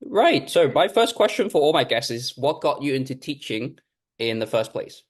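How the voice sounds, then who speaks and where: A man with a mild Merseyside accent hosting an interview, encouraging and quick with agreeing murmurs. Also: mid-range voice, big pitch swings. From Merseyside, England. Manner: encouraging, frequent backchannel murmurs. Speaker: podcast host, teacher coach. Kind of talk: casual interview.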